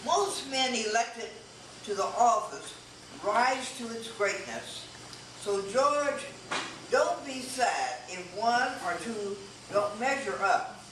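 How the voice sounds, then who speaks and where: Woman as slow-spoken soldier-president, very echoey. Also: a little high, lively intonation, fairly bright tone. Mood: reflective, encouraging. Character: proud, plainspoken Teddy Roosevelt, male soldier-president in heaven. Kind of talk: readers' theater stage reading. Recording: echoey room, distant mic, noisy.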